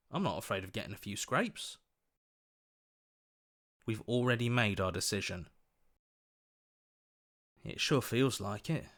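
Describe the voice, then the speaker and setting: A man voicing an earnest, hopeful young hero in a video game, expressive and quick to protest. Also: mid-range voice. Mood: sad, resolute.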